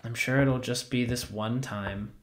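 A man's voice: mid-range voice, fairly even intonation, unhurried, natural voice. A man playing an earnest, defensive and naively trusting young man in a naturalistic audio drama. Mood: sheepish. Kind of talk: naturalistic audio fiction podcast acting.

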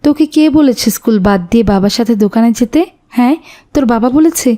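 A caring village mother acted by a woman in a cartoon. She speaks briskly in a mellow, fairly high voice, fussing and gently scolding. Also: lightly acted. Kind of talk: animated cartoon dialogue.

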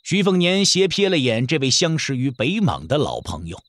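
A man's voice: medium pitch, huge pitch swings, normal speed, a bit bright, no echo. A man narrating a martial-arts novel as a scripted audio drama, telling the story in the third person.

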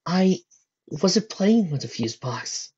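A woman's voice: a little high, very animated, normal speed, lo-fi sound, voice pushed up. A woman voicing a defensive, mischievous teenage boy in a read-aloud story. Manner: protesting, insistent.

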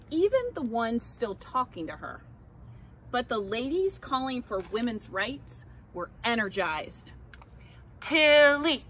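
An American woman narrates a children's picture book in a fairly high, very lively voice. She takes her time, leaning dramatically on key words. She is recorded outdoors with some background noise. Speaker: read-aloud storyteller. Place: outdoors beside a house.

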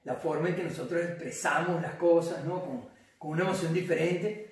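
A man's voice: a little high, fairly quick. A Venezuelan man in his forties chatting casually as an interview guest. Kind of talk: casual chat. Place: indoor living room. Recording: echoey room, distant from mic.

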